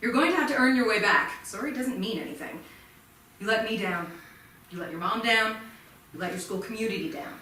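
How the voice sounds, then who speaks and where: A woman in a staged play reading playing a stern, formal high school principal with a measured, admonishing, officious manner. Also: pushed and bright, close to an everyday voice. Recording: echoey theatre, distant mic, faint noise.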